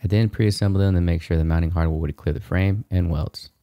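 A man's voice: sits low, fairly even intonation, fairly quick, warm tone, a little hiss or hum. A man in his thirties hosting a DIY video, narrating a how-to in a casual, informal, explanatory way.

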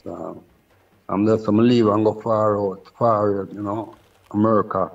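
A man's voice: fairly low pitch, steady tone, unhurried, gravelly. An older Jamaican man speaking as a Rastafarian priest and guest, in reverent, casual Patois. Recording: distant, slightly dull remote sound.

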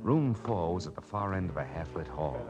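Hardboiled private eye, low-pitched, American, wry, clipped, old radio noir, music behind. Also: male voice, lively intonation, moderate pace. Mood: hardboiled narrating. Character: tough, wisecracking male private detective. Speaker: lead actor narrating a detective drama. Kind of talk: 1940s radio noir acting, hardboiled narration.

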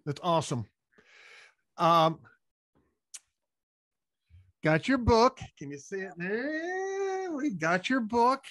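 A man's voice: high-pitched, very animated, slow, pauses often, a bit bright, no room sound, studio quality. An older man who hosts a show, chatting in a folksy, easygoing way during an interview. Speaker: podcast host. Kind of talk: casual interview hosting. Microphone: podcast mic on stand, video call.

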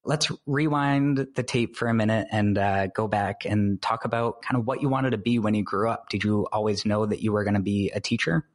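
A man's voice: on the low side, fairly even intonation, brisk, few pauses. A young man, a student and guest host, interviewing a guest on a podcast in a friendly way. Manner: friendly, scripted intro then casual questions.

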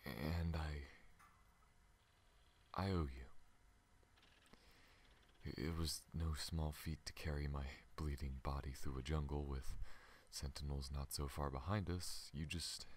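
A man acts out a battle-worn male alien pilot for an ASMR roleplay, with an extremely low, breathy voice. He speaks slowly and haltingly, gentle and earnest, weakened by his wounds and often sinking to a whisper. A faint layer of background noise sits behind him. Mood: earnest, grateful. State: weak and recovering from wounds.

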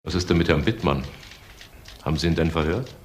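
A man's voice: very deep voice, a little fast, a little mellow. An actor playing a stern, commanding male police superior in a German crime radio drama. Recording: radio drama with faint background noise.

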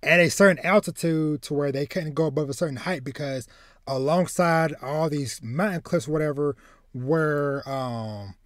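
A man's voice: fairly high pitch, moderate pace, no room sound. Rambling male podcast host, dry close sound. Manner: animated, rambling, repeats words while searching for phrasing. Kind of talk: casual chat, retelling a film plot. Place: home room with bookshelves, indoors.

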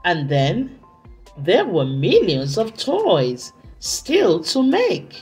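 A woman reading a story aloud for children, deliberately, with dramatic emphasis and drawn-out words. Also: fairly low pitch, wildly swooping pitch, darkish tone, soft background music. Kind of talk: expressive read-aloud narration for children.

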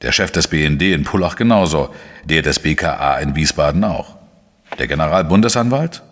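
Male for crime radio play: shrewd, matter-of-fact reporter-sleuth, very low husky voice, reverberant sound. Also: expressive, exaggerated voice. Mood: measured reasoning. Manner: measured, explanatory, matter-of-fact. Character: shrewd, dry male investigative journalist, narrating his case. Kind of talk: German crime radio play, narration and dialogue.

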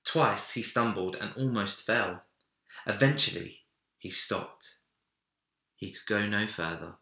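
A young man narrating a children's storybook with dramatic, deliberate phrasing in a room indoors. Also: fairly low pitch, creaky, pushed and bright. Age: young adult. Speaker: story reader. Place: small home room, indoors. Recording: roomy echo.

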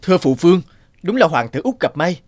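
A man's voice: a little high, very animated, a little fast, darkish tone, faint music behind. A man voicing an arrogant, jealous elder prince in a fairy-tale cartoon, indignant and scornful.

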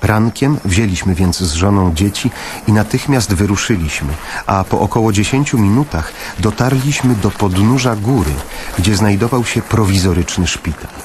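An actor playing a grave father who narrates in the first person in a radio play, measured and full of pauses. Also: fairly low pitch, animated, darkish tone, slight background noise, close to an everyday voice. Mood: somber. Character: somber father narrating his family's wartime flight.

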